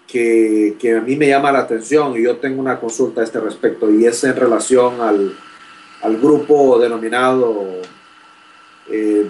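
A man's voice: mid-range voice, darkish tone, recorded from a distance, poor audio. Hesitant, polite young man. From Honduras. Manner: polite, formal, hesitant with many fillers. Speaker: graduate student, first-time participant. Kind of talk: asking a question in an online chat.

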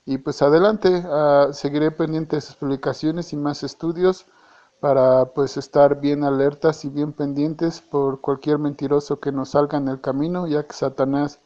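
A Mexican man leaving a long voice message who talks hesitantly, often stopping to repeat or correct himself. His pitch stays flat and level throughout. The recording sounds dull and lo-fi. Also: moderate pace. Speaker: church member. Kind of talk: casual voice message.

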